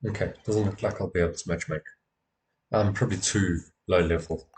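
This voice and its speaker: Low-voiced man muttering through a game stream. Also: steady tone, fairly quick, a little mellow, slight background noise. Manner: self-talking, muttering and repeating phrases, mildly sweary. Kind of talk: casual live gameplay commentary, talking to himself. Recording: game music behind the voice. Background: video game music.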